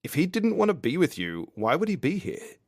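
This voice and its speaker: A man's voice: on the high side, huge pitch swings, a little fast, no room sound, clean studio sound, pitched above the performer's own voice, full character voice. A man voicing a blunt, pushy, teasing male workmate in an audiobook. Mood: pressing. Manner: blunt, pressing. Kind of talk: audiobook character dialogue.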